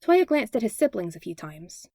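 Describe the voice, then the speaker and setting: A woman narrates a piece of fiction from a script. Her voice sits at an average female pitch, and the recording is close and dry, with hardly any room sound. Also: sing-song, moderate pace, vocal fry, a little mellow, dry recording. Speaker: podfic reader.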